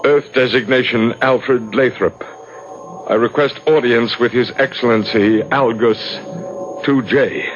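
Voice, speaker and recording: A polished, self-assured club leader, played by a man, speaks at an average male pitch. He is smooth and controlled in classic 1970s radio drama style, with light music behind him. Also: steady tone, measured pace, lo-fi sound, lightly acted. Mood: formal, solemn. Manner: reassuring, polished, formal when addressing superiors.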